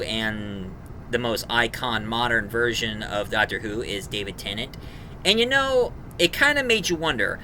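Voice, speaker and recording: Man, very bright sharp voice, casual rambling reviewer, halting, enthusiastic, faint background noise. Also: on the high side, low-quality recording. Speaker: reviewer, host.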